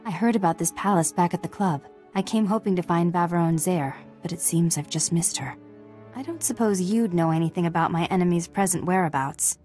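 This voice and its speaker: An actress playing a stern, earnest Jedi warrior in a video game, speaking in a grave, formal manner. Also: female voice, on the low side, brisk, darkish tone, soft background music, lightly acted. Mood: grave, resolute.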